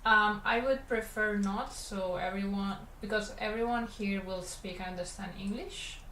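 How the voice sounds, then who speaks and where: A woman's voice: medium pitch, normal speed. A woman from Catalonia with a Spanish Catalan accent and Catalan as her first language, chatting casually with her stream while she animates. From Catalonia, Spain. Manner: relaxed, rambling, talks to chat while working. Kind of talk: casual livestream chat while drawing. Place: animation studio room. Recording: roomy, faint background hum.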